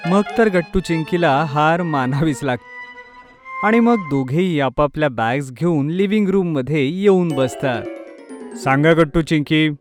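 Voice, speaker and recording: Guy narrating a kids story, voice a little on the high side, soft music under it. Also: expressive, normal speed. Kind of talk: scripted children's story narration.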